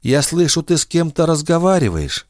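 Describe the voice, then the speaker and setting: A man doing a frail, high old grandmother. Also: sing-song, normal speed, a little mellow, dry recording, studio quality. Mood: tender, amazed.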